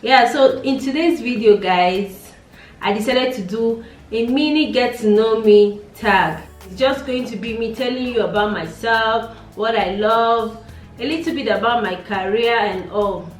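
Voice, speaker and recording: Nigerian woman, late twenties, casual vlog chat, thinking aloud with hums, soft music behind. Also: fairly high pitch, a little slow, reverberant, recorded from a distance. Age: late 20s. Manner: chatty, repeats herself, muses aloud with hums. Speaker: beauty vlogger. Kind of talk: casual get-to-know-me vlog.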